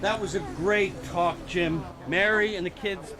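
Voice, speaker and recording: A man's voice: high-pitched, a little slow. An actor playing a grateful, admiring American churchgoing family man in an audio drama, friendly and earnest. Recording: very noisy outdoor ambience, vehicles.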